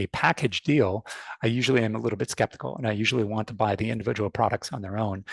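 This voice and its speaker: A man's voice: medium pitch, vocal fry, breathy edge, no room sound. A man in his forties with a North American accent, giving a conversational webinar talk full of restarts and fillers. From North America, born in Canada, raised in the United States. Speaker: fintech speaker. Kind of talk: webinar presentation. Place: online call.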